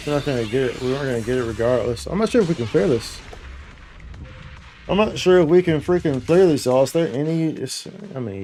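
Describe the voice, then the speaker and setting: A man's voice: medium pitch, mellow. Casual male game streamer over faint music. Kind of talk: casual livestream gameplay commentary. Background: video game music.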